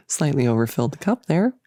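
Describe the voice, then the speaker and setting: A woman playing a playful, doting young cultist in an intimate roleplay monologue, chatty and halting as she teases and coddles. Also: low voice, mellow, no echo, clean studio sound. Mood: musing, awkward.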